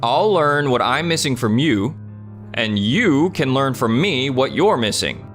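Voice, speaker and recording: Man acting a humble, lively-toned young salesman. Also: mid-range voice, a little slow, soft background music. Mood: supportive. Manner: earnest, modest. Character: humble, sincere young male salesman, gentle and generous. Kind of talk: anime-style dubbed dialogue.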